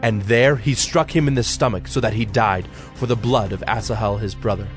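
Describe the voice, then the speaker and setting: Formal male scripture narrator over music. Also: lowish voice. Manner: measured, formal.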